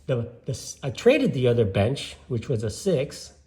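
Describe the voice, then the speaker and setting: A man's voice: mid-range voice, very animated, normal speed, dark tone. Older man from Cuba, echoey room. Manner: rambling, with many fillers and restarts. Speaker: card collector showing his collection. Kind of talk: casual show-and-tell vlog.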